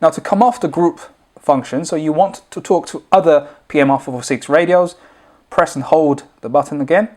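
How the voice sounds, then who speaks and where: A man's voice: fairly high pitch, moderate pace, a little mellow. A man presenting an informal, unscripted product tutorial, explaining things step by step as he demonstrates.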